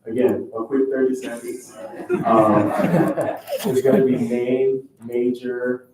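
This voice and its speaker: A man's voice: mid-range voice, fairly even intonation, slow, crowd noise. A young man hosting a student event, politely giving informal announcements and instructions to a group. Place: large indoor room at a student event. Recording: very echoey room, distant mic, noisy.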